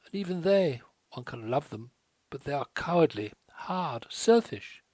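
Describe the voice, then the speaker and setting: A man voicing a tearful young French woman who pleads in a rapid, sighing way. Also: on the high side, darkish tone, no room sound, pitched above the performer's own voice, close to an everyday voice. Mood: pleading.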